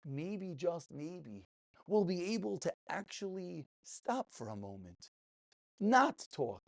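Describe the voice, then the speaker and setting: A man's voice: high-pitched, very animated, takes it slowly, creaky. A man giving a passionate, informal religious lesson as a rabbi, emphatic, full of rhetorical questions and repeated phrases.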